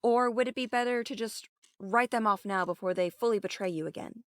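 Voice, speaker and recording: A woman's voice: fairly high pitch, fairly quick, no echo, studio quality, close to an everyday voice. A woman playing a guarded, conflicted police captain, speaking informally and earnestly in an audio drama. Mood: tentative.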